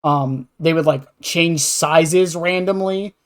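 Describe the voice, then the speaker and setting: A man's voice: a little high, slow. A man chatting casually on a podcast as a streamer, rambling and self-deprecating, with some swearing.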